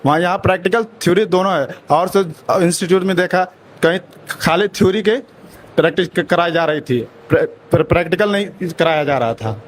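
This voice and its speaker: A man's voice: high-pitched, fairly quick, slight background noise. A student from Bihar explaining how he chose the institute, stumbling over and repeating syllables. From Bihar, India.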